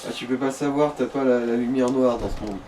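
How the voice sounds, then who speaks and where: Mellow male gaming companion, off-mic. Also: medium pitch, fairly even intonation, a little fast. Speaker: let's play companion. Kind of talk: casual chat over gameplay. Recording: distant mic with background noise.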